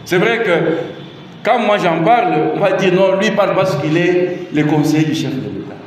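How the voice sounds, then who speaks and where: A middle-aged man with a Congolese French accent speaks to a crowd with emphatic repetition and pointed rhetorical questions. His voice sits a touch high for a man. It is recorded from a distance in a big hall with a long, booming echo and a faint hum of ambience. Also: lively intonation, moderate pace. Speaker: presidential adviser giving a public talk. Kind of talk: public speech on the constitution. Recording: echoey hall, mic far off.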